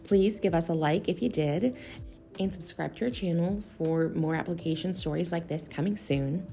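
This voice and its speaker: Woman doing product explainer voiceover, lowish mellow voice, faint music under it. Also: vocal fry. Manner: measured, instructional delivery. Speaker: narrator of a corporate product video. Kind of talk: scripted explainer narration.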